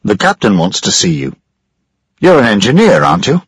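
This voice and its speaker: A man playing a courteous young sailor, a little higher than the narrator and quick, with a husky tone and a dry, close sound. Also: huge pitch swings, dry recording, exaggerated voice. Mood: polite. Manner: polite. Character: polite young male sailor.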